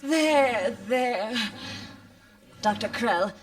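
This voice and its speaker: A woman playing an earnest, soothing, apologetic starship captain in a live sci-fi radio comedy, speaking deliberately. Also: on the high side, expressive. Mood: soothing, resigned.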